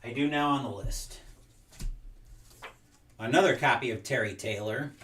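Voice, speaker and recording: A man's voice: mid-range voice, unhurried, fairly bright tone, slight background noise. A young man talks casually through an unboxing, reading names aloud and drifting into side stories. His voice sits in a middle range and sounds a little distant from the mic, in a room with noticeable echo. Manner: rambling, reads names aloud while sorting. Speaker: collector unboxing wrestling game cards.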